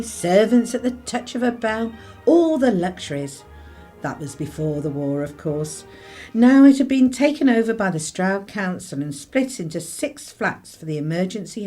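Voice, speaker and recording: A woman's voice: lowish voice, a little mellow. A woman playing a practical, plain-speaking 1950s mother in a radio play. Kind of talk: radio play acting and narration.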